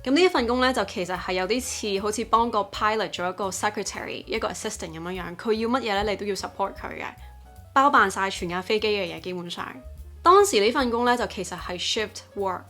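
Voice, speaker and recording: A woman's voice: mid-range voice, fast talker, sharp tone. Female vlogger, young adult, Hong Kong accent, presenter-style narration with faint music underneath. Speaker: host, English teaching YouTuber. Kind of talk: vlog narration mixing Cantonese and English.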